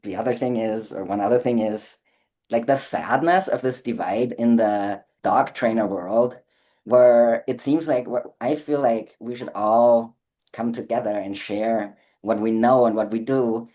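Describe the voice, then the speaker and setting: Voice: mid-range voice, a little slow, mellow. A podcast host from Austria, chatting eagerly and asking long, rambling questions. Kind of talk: casual interview chat.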